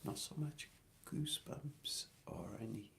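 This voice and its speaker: A man speaks in a hushed ASMR whisper with a crackly fry underneath. His delivery is very slow and hesitant, as he thinks his way gently through answers to questions. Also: fairly low pitch, expressive. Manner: hesitant, thoughtful, soft-spoken.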